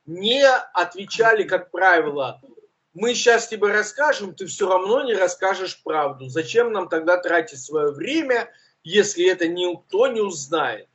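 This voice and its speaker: A man with a burred, uvular R, co-hosting a casual news chat and talking in a relaxed, self-mocking way with many fillers. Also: sits high, unhurried, lo-fi sound. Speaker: co-host, journalist.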